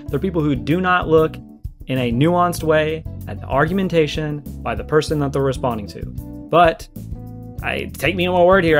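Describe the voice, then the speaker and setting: A man with a fairly high voice delivers conversational video commentary. He argues emphatically and circles back over his points to stress them, with faint plucked guitar music behind him. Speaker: video creator and host.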